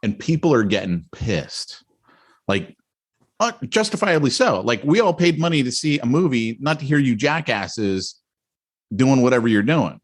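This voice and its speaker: A middle-aged man co-hosting a podcast, telling a story in a rambling, animated way with many restarts and asides. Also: medium pitch, moderate pace. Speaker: podcast co-host. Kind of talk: casual storytelling chat.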